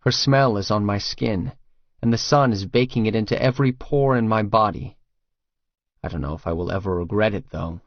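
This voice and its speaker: A man's voice: on the low side, normal speed, dry recording, highs cut off. A man reading first-person romance fiction as an audiobook narrator, in a scripted storytelling style.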